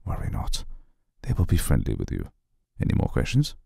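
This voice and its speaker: A man voicing an aloof, menacing vampire lord in an ASMR roleplay, speaking formally and deliberately in a brooding, husky, gravelly voice. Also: very deep voice, wildly swooping pitch, warm tone, no room sound, clean studio sound, exaggerated voice. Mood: cool, formal.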